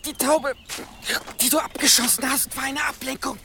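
A clever captive servant in an audio drama. His high voice is strained, halting and creaky, set in clearly noisy ambience. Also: fairly even intonation. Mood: frightened explaining. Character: clever captive male servant pleading and explaining. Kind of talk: German audio drama acting.

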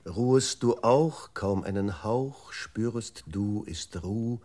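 A man playing a computer memory unit in a 1960s German experimental radio drama, reciting fragments in a terse, measured way. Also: fairly low pitch, darkish tone. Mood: detached, methodical. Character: male computer memory unit, detached, methodical.

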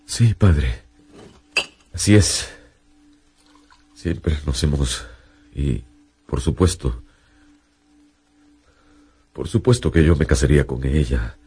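An actor playing an anguished young scientist in a radio drama, halting, dramatic and emotional. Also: male voice, extremely low pitch, lively intonation, warm tone, exaggerated voice. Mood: hesitant, dutiful. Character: anguished young male scientist, dutiful, haunted by guilt. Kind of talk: radio drama acting and narration.

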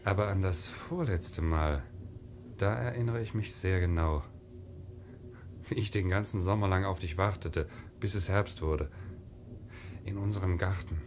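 An actor playing a modest, disillusioned young sailor, hesitant and resigned, in a 1950s radio drama. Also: male voice, deep voice, a little slow, pauses often, noisy background. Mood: wistful. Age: young adult.

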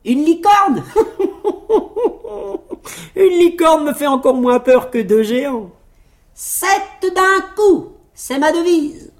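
A woman's voice: high-pitched, lively intonation, extremely slow, long pauses, voice pushed up, exaggerated voice. A swaggering little storybook tailor, very pleased with himself played by a woman. The voice leaps well above the storyteller's own and swings widely as he brags. The delivery is theatrical and cocksure. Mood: scornful, amused. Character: cocky, boastful little male tailor, fairy-tale hero.

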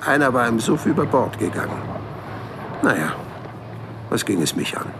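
A man's voice: medium pitch, very animated, background noise. An actor playing a hundred-year-old man who recalls his adventures in a wry, colloquial audio drama narration. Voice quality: gravelly, creaky. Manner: wry, unhurried, colloquial storytelling.

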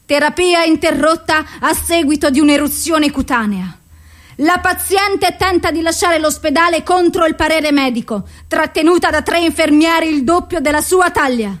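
An actress playing a clinical record-keeper in a radio drama monologue, reciting a psychiatric case file in clipped, list-like phrases. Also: female voice, high-pitched, normal speed, lightly acted.

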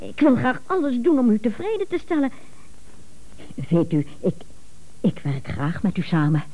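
An actress in a radio comedy playing a prim, earnest secretary who is hesitant and eager to please. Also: female voice, on the high side, huge pitch swings, moderate pace, mellow. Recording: thin, band-limited old radio recording.

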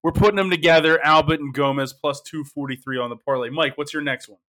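Male host, slightly high and bright, upbeat panel banter, stammers and restarts. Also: steady tone, quick pace, rough recording. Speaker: host of a sports betting show. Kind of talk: casual panel chat.

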